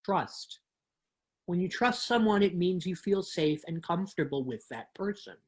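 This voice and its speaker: A woman reads children's books aloud in a fairly low voice. Her storytelling is expressive and measured, in the manner of a dramatic reading. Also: slightly rough.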